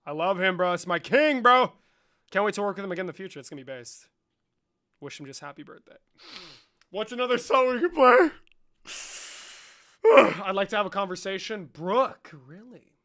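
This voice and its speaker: Male livestream host. Bright, high voice; profane, mocking, volume swings. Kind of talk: casual livestream chat and debate.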